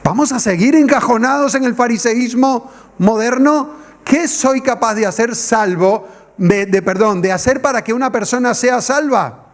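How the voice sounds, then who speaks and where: A middle-aged man from the Canary Islands, raised in Venezuela, preaching an evangelistic sermon as a guest pastor and firing rhetorical questions at the congregation. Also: extremely high pitch.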